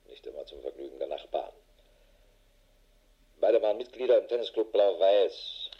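Low-voiced, world-weary police investigator. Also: male voice, animated, moderate pace, slightly rough, highs cut off, exaggerated voice. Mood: sober, dictating. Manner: matter-of-fact, dry, clipped official phrasing. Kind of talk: German radio drama acting, dictated report.

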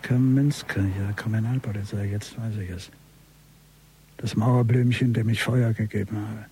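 An actor playing a bitter, haunted military man's inner thoughts in an intimate radio drama monologue, with a gravelly voice. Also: lowish voice, fairly even intonation, moderate pace, mellow. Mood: wary, anxious. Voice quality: creaky, gravelly.

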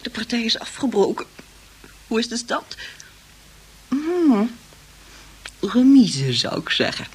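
A woman's voice: on the high side, sing-song, slow, pauses often, breathy edge, exaggerated voice. A woman playing an amused, conspiratorial young woman of the household in an old Dutch radio comedy, with a Dutch accent. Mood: amused, relieved.

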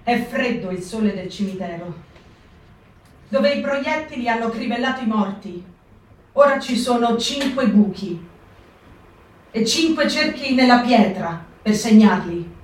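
Slow, grave woman reading in an echoey hall. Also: on the high side, lively intonation. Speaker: actor in a staged reading. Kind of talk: dramatic reading for radio. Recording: echoey stage reading from far off.

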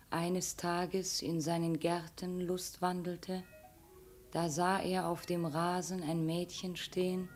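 An actress playing a woman who reads an old tale aloud in measured, deliberate storytelling, in a 1950s radio drama. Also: on the low side, a little mellow. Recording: 1950s radio studio recording.

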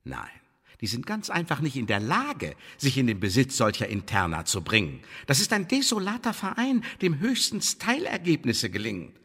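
Scornful spymaster interrogator, radio drama, husky, pompous officialese, menacing, animated intonation, long hall reverb. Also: male voice, medium pitch, moderate pace, exaggerated voice. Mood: scornful, superior. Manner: pompous officialese mixed with sardonic colloquial jabs. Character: imperious male secret service interrogator, scornful and menacing. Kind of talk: German radio play acting.

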